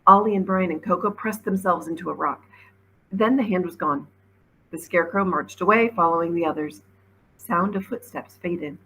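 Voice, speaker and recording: A woman, a teacher reading a storybook aloud with expressive narration. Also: lowish voice, normal speed, slight background noise, poor audio.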